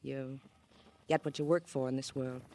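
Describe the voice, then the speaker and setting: An actress playing a cool, defiant, hard-bitten widow in 1950s radio noir, taunting, clipped and unsentimental. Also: female voice, very deep voice, little pitch movement, normal speed, darkish tone. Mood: cold, defiant.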